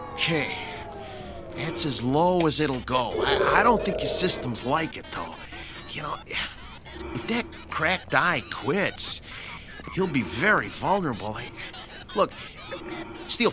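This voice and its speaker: Over clear background music, a fretful American mechanic in a radio drama. His voice sits fairly high for a man and moves up and down a lot, hesitant and exasperated as he protests. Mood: uneasy. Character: worried, exasperated male mechanic tending a worn-out robot. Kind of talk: audio drama acting. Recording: music under the voice.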